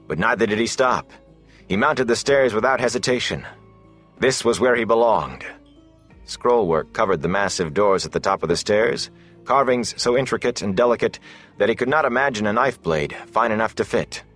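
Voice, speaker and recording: Low-voiced man narrating fantasy over music. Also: expressive, fairly bright tone. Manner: measured, deliberate storytelling delivery. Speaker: audiobook narrator. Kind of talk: scripted dramatic fantasy narration.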